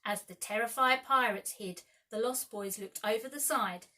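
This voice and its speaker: Bright-voiced woman reading a storybook. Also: on the high side, moderate pace. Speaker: primary school teacher reading aloud. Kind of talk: dramatic children's storybook narration.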